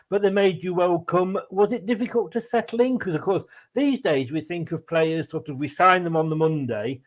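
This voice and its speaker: A man's voice: high voice, moderate pace. A middle-aged man with an English accent hosting a casual interview, chatty and rambling in his questions. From England. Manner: chatty, rambling, chuckles between phrases. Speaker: fan channel host, interviewer.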